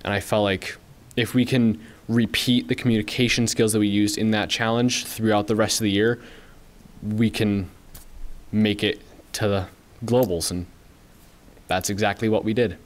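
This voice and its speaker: A young man, a student team member, giving earnest, steady remarks at a school board meeting. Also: fairly low pitch, moderate pace.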